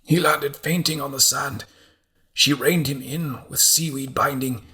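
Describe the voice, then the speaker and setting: A man's voice: mid-range voice, steady tone, unhurried, pushed and bright, pitched above the performer's own voice. A man voicing a troubled, fragile visionary with a strained, fervent delivery in an audiobook. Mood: rapt, fevered. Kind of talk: audiobook character acting.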